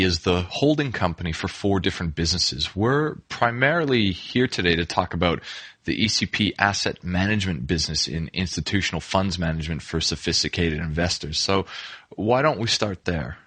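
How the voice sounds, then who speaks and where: A man hosting a business interview, asking polite, measured questions. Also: sits low, expressive, sharp tone, breathy edge, no room sound. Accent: non-Australian, immigrant.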